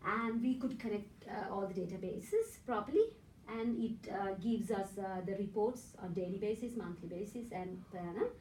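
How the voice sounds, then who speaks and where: A woman's voice: medium pitch, lively intonation, normal speed, a little mellow, recorded from a distance, a little hiss or hum. Sri Lankan woman presenting in an echoey room. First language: Sinhala. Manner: explains step by step and often adds a checking "right?". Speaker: conference presenter and lecturer. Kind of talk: academic conference presentation. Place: conference room in a hotel.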